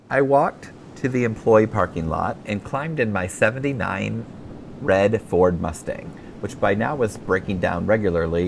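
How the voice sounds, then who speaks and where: A man narrates a memoir audiobook in a lowish voice with plenty of gravelly fry. His delivery is wry and expressive, moving up and down a lot, over faint background rumble. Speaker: author reading own memoir.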